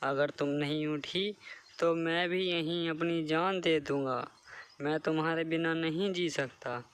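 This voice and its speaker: A devoted male bird from a cartoon moral tale, voiced by a man, imploring his mate in despair. He sounds smooth and slightly high, earnest in every phrase. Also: fairly even intonation, measured pace, a bit bright. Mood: desperate grief.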